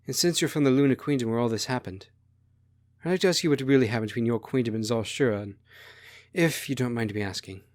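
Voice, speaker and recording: A man's voice: medium pitch, fast, no echo, lightly acted. A man voicing an idealistic, naive sixteen-year-old princess with an earnest, proud manner in a story. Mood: curious.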